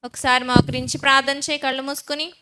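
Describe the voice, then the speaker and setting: High, bright, clear-voiced woman presenter. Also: flat delivery, fast. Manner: reassuring, instructive. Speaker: puppet show presenter, playing counsellor big sister. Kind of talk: children's puppet show dialogue.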